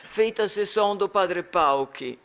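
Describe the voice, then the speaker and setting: A man lecturing in an academic talk with an Italian-tinged Rio accent, pausing often and restarting his phrases. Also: high voice, animated, fairly bright tone, smooth. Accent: Italian-tinged Rio Portuguese. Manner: halting, with frequent restarts and fillers. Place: lecture hall.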